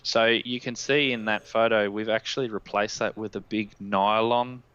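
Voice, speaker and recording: A man's voice: fairly low pitch, steady tone, moderate pace, fairly bright tone, clean tone, highs cut off. An Australian-accented man in his thirties, a guest expert giving a technical explanation on a live stream. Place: indoor room at home, on a video call. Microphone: headset.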